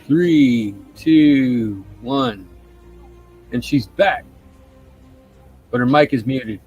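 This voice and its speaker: A man's voice: mid-range voice, drawn-out words, breathy edge, muffled recording, soft background music. Easygoing man on a live stream. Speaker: stream co-host. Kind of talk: casual live stream chat. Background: quiet tune playing.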